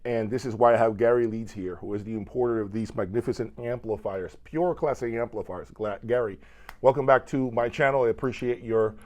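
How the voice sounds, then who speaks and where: A man with a mid-pitched voice hosts an interview on location. He talks up the products with enthusiasm and a salesman's emphasis, putting questions to his guest. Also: fairly quick, a little mellow. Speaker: video channel host interviewing exhibitors. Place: hotel exhibit room at an audio show.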